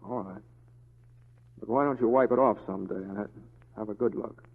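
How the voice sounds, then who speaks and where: A man's voice: fairly low pitch, a little fast, gravelly, slightly rough, in a large hall. A man playing an easygoing, wry western deputy with a folksy, hesitant drawl in an old radio drama. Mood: coaxing. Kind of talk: 1940s radio acting. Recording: dull old radio recording.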